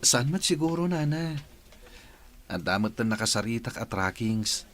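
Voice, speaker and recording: A man's voice: medium pitch, expressive, normal speed, a bit bright, lightly acted. A man with a Filipino accent playing an earnest, respectful son in a radio drama, addressing his mother. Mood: earnest, hopeful.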